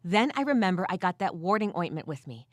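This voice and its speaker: A woman's voice: lowish voice, very animated, fast talker, no echo, clean studio sound. A woman voicing a weary but gritty furred female adventurer who tells her story in the first person with wry asides, in an audio drama style. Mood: tense, urgent.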